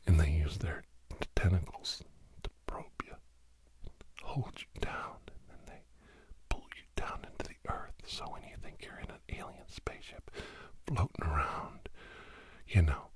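A middle-aged man in a whispered ASMR podcast monologue, breathy and secretive, rambling haltingly and repeating words, close to the mic. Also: expressive. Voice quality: breathy whisper breaking into low murmur. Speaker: podcast host.

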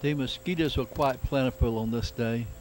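A man narrating a casual travelogue in an unhurried, reflective, plain-spoken way. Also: mid-range voice, over music. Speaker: amateur travel videographer. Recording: noisy camcorder sound with outdoor ambience.

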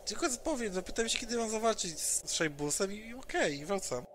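A man's voice: high-pitched, lively intonation, fairly quick, crowd noise. A man roleplaying a simple, eager member of the group in a tabletop game played online. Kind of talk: in-character roleplay, playing a naive group member. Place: home, online session. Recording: online session audio with clear background noise.

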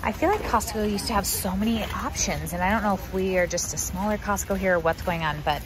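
A woman's voice: mid-range voice, brisk, over music. A young adult American woman chatting casually and informally to viewers, like a shopping vlogger. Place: large indoor warehouse store. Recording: noisy warehouse store background hum.

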